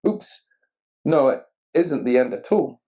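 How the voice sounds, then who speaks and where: A man reading a children's picture book aloud expressively and deliberately, putting questions to the listener. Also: medium pitch, animated, mellow. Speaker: story reader.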